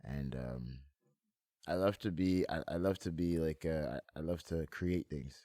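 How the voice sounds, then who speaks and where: Young male rapper guest, very low voice, dry close sound, loose slangy interview talk. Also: unhurried, dark tone, dry recording. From France, moved to Montreal, Canada at eight. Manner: slangy, often checks in with "you feel me". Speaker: guest, hip hop artist. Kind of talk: casual chat, interview answers.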